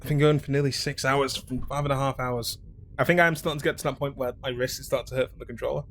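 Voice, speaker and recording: A man's voice: medium pitch, fairly even intonation, quick pace, a bit bright, soft background music. A man streaming a video game from home, chatting casually in a rambling, hesitant way, candid and blunt with casual swearing. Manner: rambling, hesitant, full of fillers and restarts. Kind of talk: casual chat while playing a video game.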